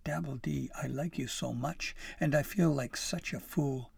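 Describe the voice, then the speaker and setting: A man's voice: mid-range voice, a little slow, gravelly, a little mellow, breathy edge, dry recording, heavily acted. A man voicing an earnest, timid young male elf in a storybook, sputtering and apologetic as he pleads. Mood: miserable. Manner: sputtering, pleading, self-doubting. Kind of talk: acted storybook dialogue.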